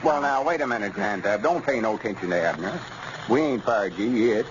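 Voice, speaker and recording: A man's voice: on the low side, expressive, fairly quick, lightly acted. An older country storekeeper, played by a male radio actor, scheming and self-important. He grumbles in a folksy rural Arkansas drawl, in the style of 1940s radio comedy. The sound is dull and plainly noisy. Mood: coaxing. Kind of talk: 1930s-40s radio comedy acting. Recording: muffled, noisy old radio recording.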